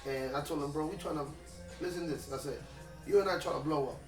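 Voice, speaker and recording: First rapper guy talkin fast n loose, echoey room, music going behind him. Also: on the high side, recorded from a distance. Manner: fast, loose and emphatic, peppered with slang and swearing. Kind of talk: casual interview chat.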